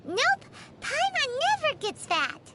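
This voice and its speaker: A woman voicing a tiny, childlike, excitable and gluttonous girl companion in a video game, with a squeaky voice and exclamatory delivery. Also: takes it slowly, very noisy, exaggerated voice. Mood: indignant, cheeky. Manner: speaks of herself in third person, exclamatory. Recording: music behind the voice.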